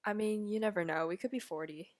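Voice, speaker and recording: A young woman chats casually as a podcast co-host. She keeps things playful and breaks into giggles easily. Her voice sits at an ordinary middle pitch. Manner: giggly, playful, quick to laugh. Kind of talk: casual chat.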